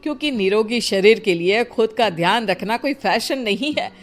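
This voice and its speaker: A woman's voice: on the high side, lively intonation, normal speed, faint music behind, lightly acted. A woman voicing a protective elderly mother-in-law in a cartoon story, motherly, insistent and admonishing. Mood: coaxing.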